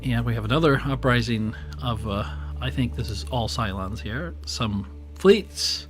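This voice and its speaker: A man with a weak, strained voice chats loosely while he live-streams a strategy game, rambling and restarting his sentences. He speaks into a boom mic at his home desk. Faint game music runs underneath. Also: a little slow. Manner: chatty and rambling, with many fillers and restarts. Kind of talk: casual live-stream commentary while playing. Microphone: desk microphone on a boom arm.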